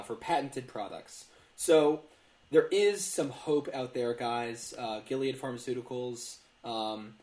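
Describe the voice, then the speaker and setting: A man with a mid-range voice hosts a casual news podcast from an echoey room. He talks in a wry, meandering way, often halting as he works through a thought. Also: medium pitch. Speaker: podcast host.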